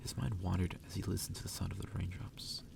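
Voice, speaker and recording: A man softly reading a story aloud in ASMR style, sometimes dropping toward a whisper and stumbling over the text. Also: low-pitched, steady tone, fast, creaky, recorded from a distance, background noise. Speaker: ASMR reader.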